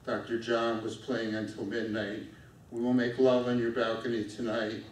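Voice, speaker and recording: A middle-aged man reading his own poem aloud at a lectern on a small dark stage, in a steady, measured way. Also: mid-range voice. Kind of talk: poetry reading. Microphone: stand mic at a lectern, filmed from far off. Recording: echoey room from far off, faint noise.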